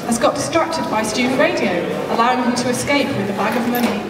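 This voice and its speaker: A woman's voice: fairly high pitch, fast talker, lightly acted. A woman playing the storytelling narrator of an amateur stage pantomime, reading scripted narration to an audience. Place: large indoor hall, live stage show. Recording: very echoey hall from far off, audience noise.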